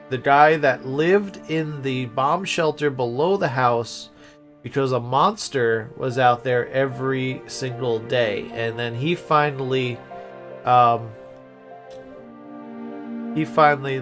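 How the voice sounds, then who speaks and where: A male streamer giving relaxed, informal commentary on a game. He takes his time, thinking aloud in halting stretches with the odd exclamation, while music plays faintly underneath. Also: mid-range voice. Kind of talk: casual livestream commentary.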